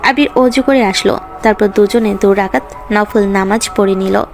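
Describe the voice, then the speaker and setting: A woman's voice: medium pitch, normal speed, vocal fry, faint music behind. A woman reading scripted narration for a romantic story.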